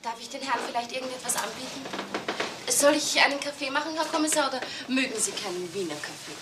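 An actress playing a carefree, defiant young hairdresser in a radio crime drama, heard a bit off-mic. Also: female voice, high-pitched, expressive, fast talker. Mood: friendly. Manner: breezy, defiant. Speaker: witness. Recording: distant voice, hissy old radio tape.

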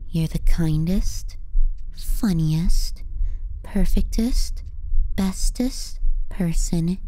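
A woman playing a possessive, manipulative young younger sister in an intimate ASMR roleplay, soft and breathy, murmuring and slipping into whispers. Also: fairly low pitch, low-quality recording. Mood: clingy adoration.

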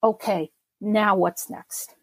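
A woman's voice: mid-range voice, fairly even intonation, slow pace, breathy edge, no echo. A middle-aged woman from Czechoslovakia, a podcast guest recalling her childhood in a reflective way, with many fillers and restarts. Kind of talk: personal memoir interview.

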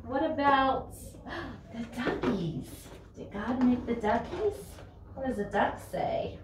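A woman's voice: a little high, animated, slow pace. A middle-aged woman teaching a small child in a Bible class, using simple, repetitive, child-directed speech in a small classroom. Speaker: children's Sunday school teacher. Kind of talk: singing and talking to a toddler in a Bible class. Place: small indoor classroom with drop ceiling. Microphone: camera across the table, off to the side. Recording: roomy, distant mic with clear background noise.

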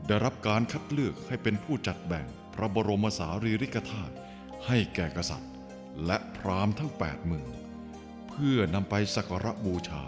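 A man giving scripted, formal narration for an animated film, telling the story in an expressive way. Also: lowish voice, in a large hall.

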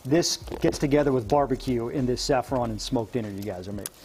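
A man's voice: medium pitch, fast talker, a little mellow, a little hiss or hum, poor audio. A man hosting a live morning TV cooking segment in a studio kitchen, friendly and upbeat as he chats and tastes food. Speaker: TV morning show host. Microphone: lapel mic.

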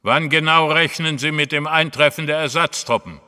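An actor playing a formal, deferential military general in a German audio drama. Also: male voice, on the high side, normal speed, sharp tone. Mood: dutiful. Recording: long hall reverb.

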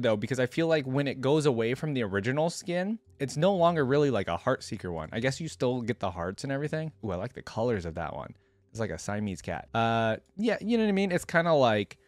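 A man's voice: medium pitch, no echo. A young man giving casual reaction commentary in an easygoing, slangy way, thinking aloud at a desk microphone. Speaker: reaction video host. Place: indoor room at a desk, gaming chair. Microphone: desk microphone close in front, headset worn. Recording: music playing behind the voice. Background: music.

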